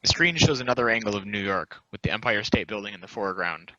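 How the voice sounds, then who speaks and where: Bright-voiced man narrating, muffled recording. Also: lowish voice, lively intonation, fast talker, no room sound. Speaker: stage-direction reader. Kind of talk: scripted narration of stage directions. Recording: muffled lo-fi audio, dry.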